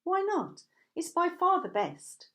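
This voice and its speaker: A woman's voice: extremely high pitch, huge pitch swings, takes it slowly, fairly bright tone, pitched above the performer's own voice, heavily acted. A woman voicing a curious, persuasive man who judges art, in a storybook reading. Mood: insistent. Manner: questioning, persuasive.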